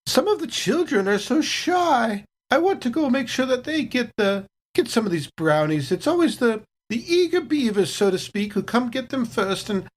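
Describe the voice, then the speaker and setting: A woman's voice: fairly high pitch, big pitch swings, moderate pace, few pauses, slightly rough. A woman voicing a busy station cook, folksy and fussy, who gossips coyly while feigning innocence. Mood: sweetly concerned.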